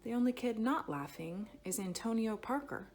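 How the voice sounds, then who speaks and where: A woman reading a children's picture book aloud expressively, with dramatic phrasing that stretches some words and hushes others. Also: fairly high pitch, animated, normal speed, faint music behind. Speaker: storybook reader.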